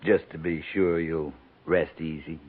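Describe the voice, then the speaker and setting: An actor playing a wry, fond American cavalry captain in a 1950s radio drama, dry and gently teasing. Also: male voice, rumbling low, steady tone, unhurried, narrow, tinny audio. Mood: fond, amused.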